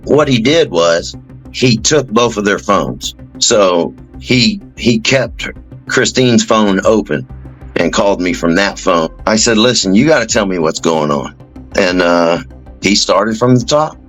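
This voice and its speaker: A man's voice: fairly low pitch, expressive, unhurried, a bit bright, faint music behind, poor audio. A middle-aged man recounting events as if in an interview.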